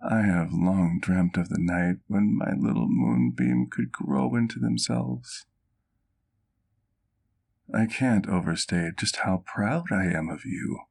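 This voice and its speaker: Casting: male actor for a genteel, doting vampire doctor in ASMR roleplay, low-pitched and unhurried, affectionate and gently teasing. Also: gravelly, full character voice. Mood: proud, tender. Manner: genteel, formal diction, affectionate. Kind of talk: intimate ASMR roleplay acting.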